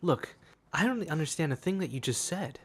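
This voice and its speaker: A man's voice: on the high side, expressive, normal speed, slightly rough. A man voicing a skeptical, exasperated, sarcastic teenage schoolboy in an amateur anime fan dub, grumbling and questioning. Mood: exasperated, doubting. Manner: dry, grumbling, doubting, questioning. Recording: loud music under the voice.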